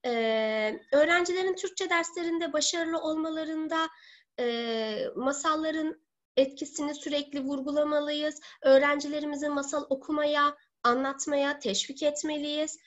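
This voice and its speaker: A woman in her thirties giving an online seminar as a teacher, speaking deliberately with halting, drawn-out words. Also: high-pitched, steady tone. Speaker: teacher presenting a webinar. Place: living room at home. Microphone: laptop or webcam mic on video call. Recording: dull lo-fi webcam call audio.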